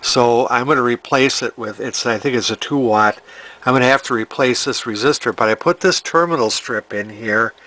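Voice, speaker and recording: Halting man talking through a repair. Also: mid-range voice, bright, forceful tone. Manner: halting, thinking aloud, repeating words. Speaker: vintage radio repair hobbyist and video host. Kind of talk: casual hands-on repair walkthrough.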